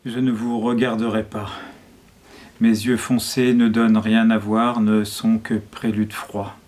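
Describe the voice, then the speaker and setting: Man reciting poetry in an even monotone. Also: fairly low pitch, a little slow. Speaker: poet reading a poem. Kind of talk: poetry reading.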